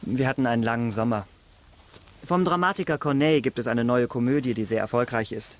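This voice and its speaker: An actor playing a courteous, guarded former soldier in a priest's role, speaking formally and with measured politeness in a German radio drama. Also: male voice, mid-range voice, natural voice. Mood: conversational. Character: courteous man posing as travelling priest, ex-cavalryman, guarded.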